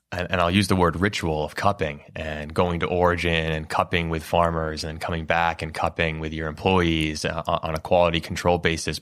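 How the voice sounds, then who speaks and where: A male podcast host with a very deep, low voice and a flat, even delivery. He asks long, winding questions in a steady, measured manner, piling up details before he reaches his point. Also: clean tone. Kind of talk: interview questions.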